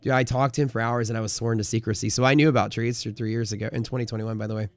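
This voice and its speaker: A man's voice: mid-range voice, flat delivery, racing, dry recording. A man hosting a livestream in casual, slangy, emphatic conversation, answering viewers and giving his opinions. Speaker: livestream host and trading community leader.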